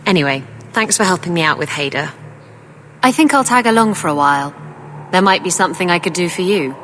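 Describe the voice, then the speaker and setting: A woman's voice: on the low side, expressive, a little fast, a bit bright, a little hiss or hum. A woman playing a worldly, flirtatious pirate captain in a video game, speaking in a wry, candid way. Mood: casual, flirtatious. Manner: wry, candid, casually confiding. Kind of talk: video game dialogue acting.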